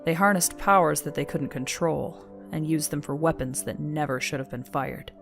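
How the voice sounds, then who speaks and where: A woman performing grave, measured narration as the solemn storyteller of a post-apocalyptic audio drama. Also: low-pitched, vocal fry. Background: music.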